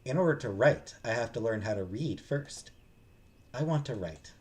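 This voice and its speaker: Man as a gentle, earnest young woman. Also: mid-range voice, animated, normal speed, natural voice. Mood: wistful. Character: earnest, softly spoken young woman who dreams of writing.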